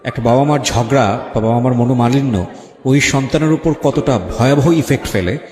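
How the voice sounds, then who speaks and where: A man's voice: medium pitch, moderate pace, darkish tone. A man with a Bangladeshi accent interviewing a guest as a radio show host, conversationally, mixing in English phrases. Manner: slips English phrases into his talk. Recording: reverberant hall echo, music behind.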